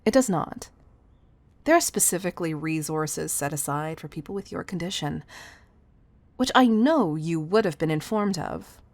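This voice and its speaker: A woman playing a stern, commanding chief executive in a scripted audio roleplay, exasperated and deliberate in her emphasis. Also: sits low, expressive, a little mellow, no room sound. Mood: exasperated, scolding. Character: stern, commanding female CEO scolding an employee.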